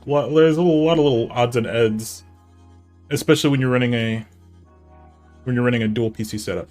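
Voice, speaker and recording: A man's voice: medium pitch, fairly quick, a little mellow, soft background music. A man with a nasal voice streaming live, chatting casually and rambling to himself and his chat while he sets up.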